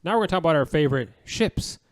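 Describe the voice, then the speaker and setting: Slightly high-voiced male podcast host. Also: expressive, racing. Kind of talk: casual podcast chat.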